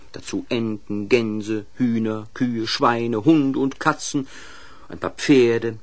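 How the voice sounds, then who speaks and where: A man narrating a children's audio play in an expressive, scripted storytelling style with pointed pauses. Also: medium pitch, slightly rough.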